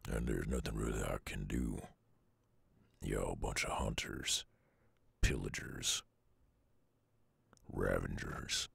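A man voicing a brooding, weary loner werewolf with a Southern US accent and a gruff, husky drawl, in an ASMR audio roleplay. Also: a bass voice, a bit bright, dry recording, exaggerated voice. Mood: grim, brooding. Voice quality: very gravelly, husky. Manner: slow, drawling, murmured.

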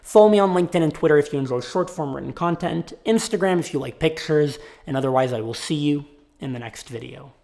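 A young man talking to camera in a casual vlog, sick and raspy, animated, from a small home office. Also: a little high, quick pace. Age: young adult. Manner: animated, gestures a lot with his hands. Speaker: tech YouTuber.

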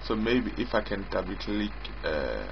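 A man giving an informal screen-recorded software demo as its developer, in a halting way, often repeating and rephrasing. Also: lowish voice, very animated, creaky, background noise. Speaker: software developer demonstrating own app. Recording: dull lo-fi mic with prominent music.

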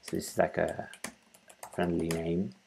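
Male tutorial presenter, thirties, very low monotone, halting and informal, explaining each step at his desk. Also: creaky, a little hiss or hum. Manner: halting, thinking aloud while working. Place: small room at home, indoors.

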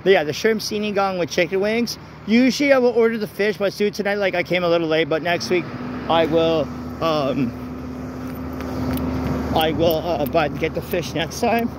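Man, high-pitched voice, casual rambling vlog, repetitive phrasing, handheld phone outdoors, faint traffic noise. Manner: informal, halting, repeats phrases. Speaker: vlogger. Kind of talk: casual rambling walk-and-talk chat. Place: outdoors walking along a street at night. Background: vehicles and street ambience.

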